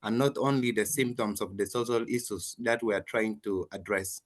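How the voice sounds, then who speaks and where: A man's voice: lowish voice, steady tone, normal speed. A young man with a South Sudanese accent, earnestly putting a prepared question in an online session. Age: young adult. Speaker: audience questioner. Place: online video call.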